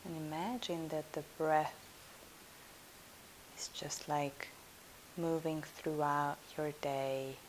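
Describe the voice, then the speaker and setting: A woman leading a guided yoga nidra meditation as a teacher, in a soothing, hushed, unhurried manner. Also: deep voice, low-quality recording. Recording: steady hiss.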